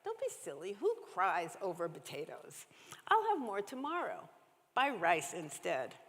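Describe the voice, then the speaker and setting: A woman's voice: lowish voice, wildly swooping pitch, unhurried, a bit bright, heavily acted. Woman reading as a kindly male grocer, reverberant hall. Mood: gently chiding. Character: kindly, gruff-but-generous male grocer. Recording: echoey church hall, long reverb.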